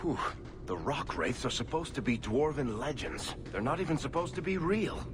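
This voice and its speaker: An actor playing a wry, easygoing roguish dwarf in a video game, with a dry, casual, joking delivery. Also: male voice, mid-range voice, very animated, normal speed, natural voice. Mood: awed, wry. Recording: noisy game ambience.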